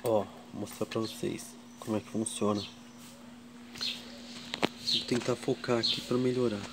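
A man from Brazil hosting a casual tool vlog at his workshop bench, slowly counting wrench sizes and chatting to viewers. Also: lowish voice, flat delivery, noisy background. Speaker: tool channel host. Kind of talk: casual show-and-tell vlog.

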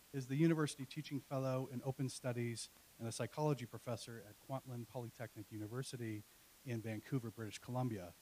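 A middle-aged man hosting an academic event, reading an introduction from notes into a handheld mic with hesitant pauses. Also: mid-range voice, darkish tone, a little hiss or hum, poor audio. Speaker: event host, university Spanish professor. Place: university classroom. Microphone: handheld microphone.

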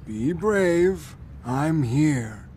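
A kind, patient old grandpa, voiced by a man from a children's cartoon, speaking gently and warmly urging a child on. His voice sits low and lively in pitch and unhurried, a little off the mic. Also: breathy edge, full character voice. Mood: encouraging. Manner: gentle, encouraging.

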